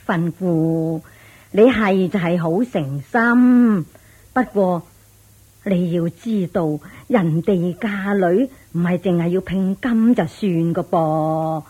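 A woman playing a blunt, doubtful older village matchmaker in a radio drama, sighing and lecturing in drawn-out Hong Kong Cantonese phrases. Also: on the low side, mellow, slightly rough. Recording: dull lo-fi radio drama with music.

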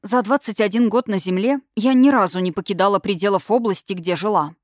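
A woman's voice: mid-range voice, a little fast, no room sound. Woman narrating fantasy fiction, dry recording. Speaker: audiobook narrator. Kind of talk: expressive scripted narration of a fantasy novel.

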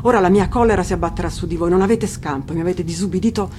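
A woman's voice: lowish voice, very fast, a little mellow, a little hiss or hum, close to an everyday voice. A woman voicing a wrathful, murderous husband in a fairy tale, commanding, thunderous and pitiless. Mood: angry, accusing. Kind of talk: dramatic fairy-tale reading. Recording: music under the voice.

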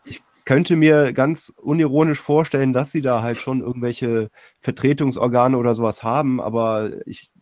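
A man's voice: mid-range voice, fairly even intonation, normal speed, a little mellow, no room sound. A man co-hosting a casual panel discussion, speaking in a tentative, hedging way. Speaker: podcast co-host.

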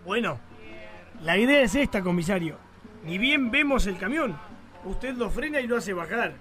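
A man's voice: high-pitched, lively intonation, normal speed, a bit bright, faint music behind. An actor playing a scheming, sneering Argentine rich man in a radio drama, bossy toward the police chief. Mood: plotting. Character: scheming rich rancher villain.

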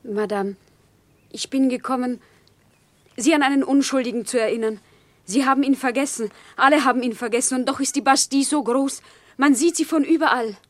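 A woman's voice: high voice, brisk, no room sound, close to an everyday voice. An actress in a German radio drama playing a fervent, obsessive woman of the common people with a solemn, impassioned delivery. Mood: earnest pleading.

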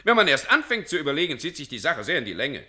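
A man's voice: on the high side, big pitch swings, fast talker, bright, forceful tone, exaggerated voice. An actor in a German radio play playing a self-important, evasive senior civil servant who dithers officiously and draws out his phrases.

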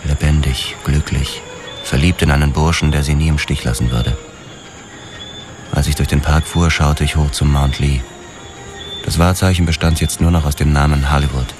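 An actor playing a haunted, hard-boiled police detective, narrating a noir radio drama in measured, clipped phrases. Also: male voice, very deep voice, fairly even intonation, over music. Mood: shaken, brooding. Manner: measured, clipped, grim storytelling. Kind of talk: scripted first-person noir crime narration, radio drama.

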